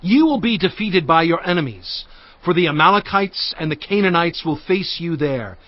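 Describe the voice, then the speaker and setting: A man's voice: on the high side, lively intonation, normal speed, no room sound, muffled recording, lightly acted. An actor playing a stern prophet, urgently and emphatically reproving his people in dramatized scripture. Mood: urgent warning.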